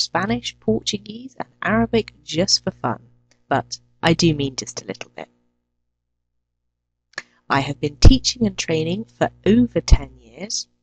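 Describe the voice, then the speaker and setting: A woman with an English accent, introducing herself as an English teacher and listing her lessons clearly and deliberately. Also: low-pitched, no echo, low-quality recording. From England. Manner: clear, deliberate, lists items one by one. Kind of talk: self-introduction to a teaching channel.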